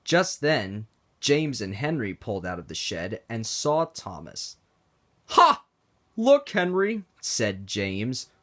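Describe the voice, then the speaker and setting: This is a man reading a children's picture book aloud. His pace is slow and deliberate. His voice rises and falls a lot to keep young listeners engaged. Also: lowish voice, lively intonation, a bit bright. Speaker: read-aloud narrator. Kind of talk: children's storybook read-aloud.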